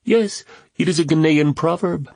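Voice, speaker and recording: A man's voice: fairly high pitch, normal speed, gravelly, darkish tone, dry recording, voice pushed up. A man voicing a small, earnest and fearless boy who speaks with careful, formal politeness, recorded dry and close.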